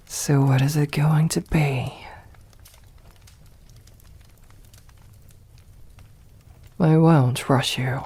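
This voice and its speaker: Vampire mistress played by a woman for ASMR roleplay: very breathy and whispery, unhurried, refined, seductive and possessive. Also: low voice, dark tone, exaggerated voice. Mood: coolly tempting. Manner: slow, formal, coaxing endearments.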